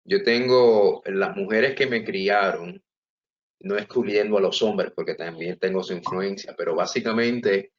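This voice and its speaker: A man with a Puerto Rican accent, a guest author speaking earnestly and deliberately in an interview. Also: sits low, highs cut off. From Puerto Rico. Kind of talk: reflective interview talk.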